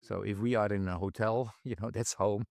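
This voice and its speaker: A man's voice: fairly low pitch, fairly even intonation, moderate pace, vocal fry, a little mellow, dry recording, studio quality. A middle-aged man from the Netherlands hosting a podcast, talking in an easygoing, casual way. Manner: easygoing, with short backchannels. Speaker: podcast host. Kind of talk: casual interview.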